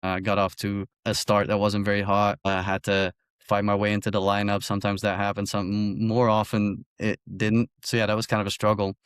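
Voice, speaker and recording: A man's voice: lowish voice, monotone, brisk, hardly any pauses, smooth, no room sound, clean studio sound. A man in his early twenties from Belgium, an athlete telling his story casually as an interview guest. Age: early 20s. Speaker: college golfer, interview guest.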